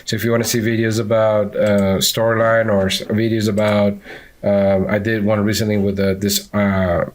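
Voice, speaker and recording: A man from Venezuela with a Venezuelan accent, speaking in a lowish voice. He talks as a guest in a relaxed interview, animated and full of fillers and quick restarts. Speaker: podcast guest, instructional designer. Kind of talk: casual interview chat.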